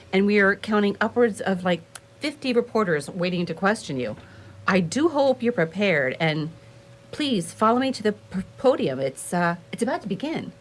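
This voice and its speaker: An actress playing a polite, officious public relations liaison in a radio play, speaking a little haltingly. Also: female voice, mid-range voice. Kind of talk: radio theatre acting.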